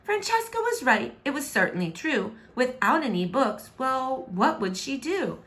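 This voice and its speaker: A woman reading a rhyming children's book aloud in an expressive, rhythmic, dramatic narration. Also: sits high, a little slow. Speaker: storybook reader.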